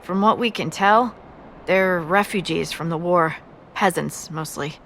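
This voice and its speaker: A friendly fantasy scout in a video game. She has a lowish voice and a wry, conversational manner, with faint ambience around her. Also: unhurried, a bit bright, a little hiss or hum. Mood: briefing.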